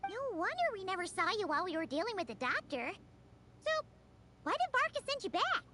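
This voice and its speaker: A woman voicing a tiny, excitable, cheeky flying childlike companion in a video game, squeaky and speaking of herself in the third person. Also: fairly quick, slight background noise, heavily acted. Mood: curious. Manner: refers to self in third person.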